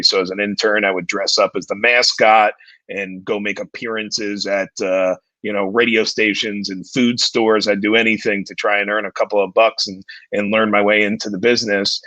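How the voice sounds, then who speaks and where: A man in his forties with a deep, distinguished voice, talking as a guest in a casual interview and often repeating words as he starts his sentences. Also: little pitch movement, moderate pace, pushed and bright, no echo. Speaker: guest, entertainment company CEO. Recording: dull lo-fi dry sound.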